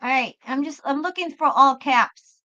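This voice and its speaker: Female tarot host, high voice, chatty and emphatic, repeats herself; muffled live-stream sound. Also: moderate pace, sharp tone. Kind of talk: live spiritual tarot reading, casual chat with viewers. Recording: dull lo-fi live stream audio.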